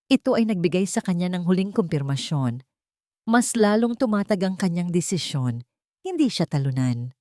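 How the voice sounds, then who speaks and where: A woman's voice: on the low side, no room sound, studio quality. A woman with a Filipino accent narrating a scripted romantic drama story.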